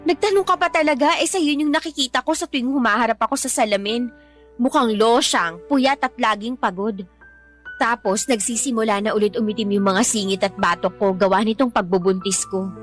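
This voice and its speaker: A woman playing a weary, sulky pregnant wife and mother in a radio drama, with a plaintive, expressive delivery. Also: on the high side, brisk, soft background music, close to an everyday voice. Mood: sulky, self-pitying. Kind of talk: radio drama acting in dialogue.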